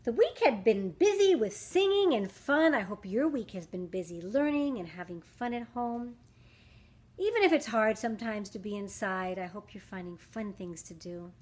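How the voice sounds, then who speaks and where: A woman reading a rhyming picture book aloud to young children, with dramatic emphasis, drawn-out words and questions to her listeners. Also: a little high, sing-song. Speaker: children's story reader.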